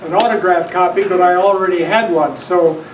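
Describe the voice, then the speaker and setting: A distant man with a rather high voice speaks up informally at a club meeting. He is off the microphone in a noisy meeting room. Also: steady tone, normal speed, echoey room. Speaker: club member. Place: meeting room, away from the mic.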